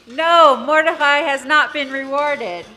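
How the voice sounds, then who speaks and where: A woman's voice: high-pitched, slow, full character voice. A woman voicing royal court puppets in a children's puppet show, recorded live in a hall. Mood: matter-of-fact. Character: puppet characters of a queen and royal scribe. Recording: loud background noise, live hall.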